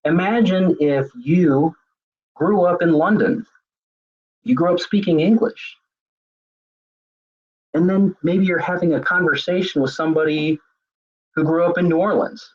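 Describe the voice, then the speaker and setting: Husky-voiced man giving a webinar lecture. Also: a little high, measured pace, pauses often, dull audio. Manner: conversational, with frequent fillers and restarts. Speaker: web seminar presenter. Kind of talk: lecture on leadership communication.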